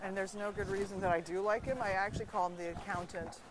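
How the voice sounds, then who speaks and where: A woman's voice: mid-range voice, steady tone, fast talker. A woman vlogging casually to her followers in a candid, opinionated, emphatic way, on a noisy handheld recording. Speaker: vlogger filming social media stories. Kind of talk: casual chatty phone vlog narration. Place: on the go, around a tennis centre.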